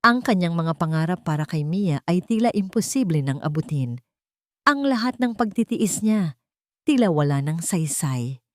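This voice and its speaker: A woman with a fairly low voice reads a dramatic love story as its narrator. She speaks in deliberate, emotive phrases. The recording is dry and close, with little sense of a room. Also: expressive, dry recording.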